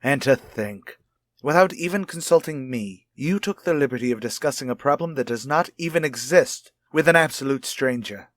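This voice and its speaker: An actor playing a cold, short-tempered, domineering husband in a 1950s radio soap, formal and condescending. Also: male voice, mid-range voice, lively intonation, moderate pace, no echo, close to an everyday voice. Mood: angry. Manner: formal, condescending, clipped disapproval.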